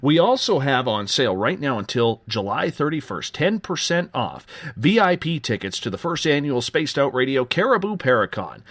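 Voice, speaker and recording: A man hosts a late-night radio talk show, his voice sitting at an ordinary middle pitch. He rolls through announcements with upbeat, joking patter. The sound is dry and close, with no room around it. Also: sing-song, normal speed, hardly any pauses, fairly bright tone, dry recording. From Canada.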